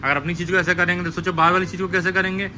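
A man's voice: high voice, level tone, racing, background noise. A man vlogging casually outdoors at a hotel, chatting in a playful, teasing way. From Lucknow, India. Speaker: travel vlogger. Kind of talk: casual vlog chat.

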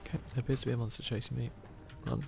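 A man giving hushed ASMR gameplay commentary close to the microphone, often dropping into whispers. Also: medium pitch, big pitch swings, racing, vocal fry, music in the background. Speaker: gaming video host.

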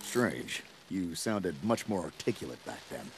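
A man's voice: low voice, very animated, unhurried, loud music behind the voice, exaggerated voice. A man voicing a sensible, articulate ape in a cartoon, with a dry, chiding, exasperated manner. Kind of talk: animated cartoon acting.

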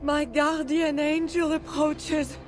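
An actress playing a devout, composed noblewoman who pleads calmly and earnestly. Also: high voice, slow. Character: devout, composed noblewoman, young adult, gentle. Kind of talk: video game cutscene acting.

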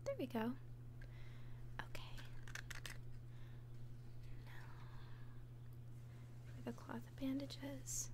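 A woman playing an obsessive, falsely gentle young caretaker in an ASMR roleplay, speaking softly and breathily with tender, halting hesitation. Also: on the high side, noisy background. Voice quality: soft, breathy whisper. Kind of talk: whispered ASMR roleplay, personal attention.

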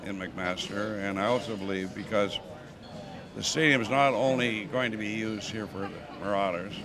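Older man, lowish voice, halting interview in a busy hallway with crowd noise behind. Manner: rambling, halting, with many restarts. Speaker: donor interviewed at stadium opening. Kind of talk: casual interview. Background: crowd chatter.

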